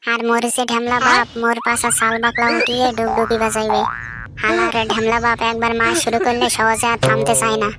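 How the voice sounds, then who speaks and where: Lady doing a cheeky cartoon schoolboy, bangladeshi village slang, talks fast, highish voice, whiny over-the-top complaining. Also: monotone, close to an everyday voice. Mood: comic dread. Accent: Bangladeshi regional Bengali. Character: cheeky schoolboy who failed exams, dreading his father. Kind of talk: comic cartoon dubbing. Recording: loud music behind the voice. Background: loud music.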